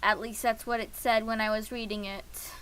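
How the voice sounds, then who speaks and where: A woman's voice: on the high side, level tone, normal speed, a bit bright, slight background noise. A young woman streaming a game, chatting casually to herself and humming filler sounds while she waits.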